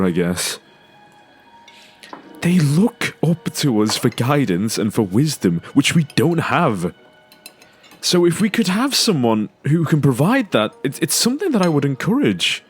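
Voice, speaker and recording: An actor playing an earnest, self-doubting father with a hesitant, stammering way of talking in an audio drama. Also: medium pitch. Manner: hesitant, stammering, repeats words. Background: music.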